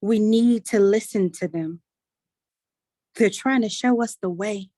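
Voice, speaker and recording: Woman acting a gentle, pleading daughter, dry sound. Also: medium pitch, a little slow, no echo, close to an everyday voice. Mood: earnest, hopeful. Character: gentle, pleading grown daughter of the troupe's elder. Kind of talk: staged reading of a play.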